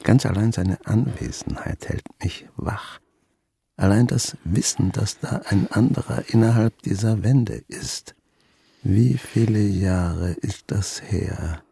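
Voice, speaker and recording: A man's voice: fairly low pitch, takes it slowly, creaky, dark tone, husky, dry recording, heavily acted. An actor playing a reclusive, awkward old grandfather in a German radio drama, hesitant and reflective with a wry edge. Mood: brooding. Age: 60s or older. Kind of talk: German radio drama acting, dialogue and inner monologue.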